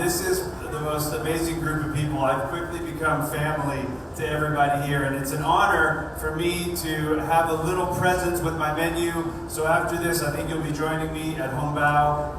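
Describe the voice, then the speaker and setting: A man, a guest chef, giving a short welcome speech with halting restarts. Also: on the high side. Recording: echoey hall from far off, noisy.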